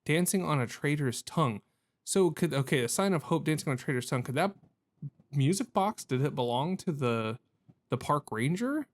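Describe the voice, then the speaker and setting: A man's voice: on the high side, expressive, moderate pace. A man giving casual let's play commentary on a video game, thinking aloud in a hesitant, self-questioning, rambling way.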